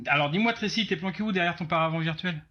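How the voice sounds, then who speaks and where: A man hosting a casual interview over a video call, speaking in a halting way with many restarts. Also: fairly high pitch, sharp tone, slight background noise. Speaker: interviewer host. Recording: dull lo-fi call audio.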